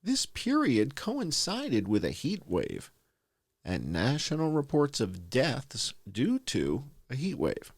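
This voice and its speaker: A man hosting, reading a research report aloud in a deliberate, emphatic way. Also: mid-range voice, wildly swooping pitch, no room sound. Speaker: host.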